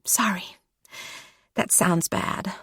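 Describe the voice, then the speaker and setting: A woman voicing a grieving adult daughter with an American accent, speaking softly and wearily, often half-whispered. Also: extremely slow, no echo, studio quality. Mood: weary.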